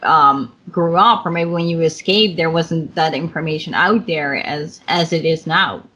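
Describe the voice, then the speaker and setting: A woman's voice: sits low, a little slow, pushed and bright, highs cut off. A woman whose first language is Dutch, interviewing a guest in English in an encouraging way with many fillers, over a video call. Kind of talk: casual interview conversation.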